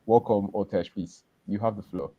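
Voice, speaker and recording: A man's voice: fairly low pitch, fairly even intonation, normal speed, dry recording, narrow, tinny audio. A man hosting a live business podcast, talking loosely with many restarts and repeated words. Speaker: podcast host.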